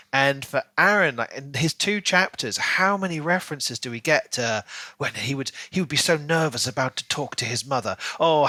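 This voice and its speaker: A man's voice: medium pitch, pushed and bright, no echo. A man co-hosting a podcast, chattily discussing a book and peppering his speech with "like" and "you know". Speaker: podcast co-host.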